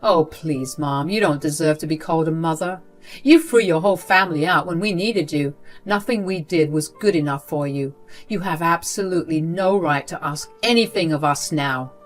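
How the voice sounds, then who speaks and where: A woman's voice: sits low, normal speed, natural voice. A stern, cold middle-aged man refusing his mother, as a woman voices him. His words are firm and cutting, over faint music.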